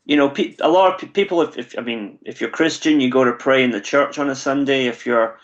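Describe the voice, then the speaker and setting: A man's voice: mid-range voice, fairly quick, dull audio. A Scottish man, a guest on a podcast, explaining things in an articulate way with frequent ums. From Scotland. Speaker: guest, English teacher and football writer. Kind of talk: casual interview.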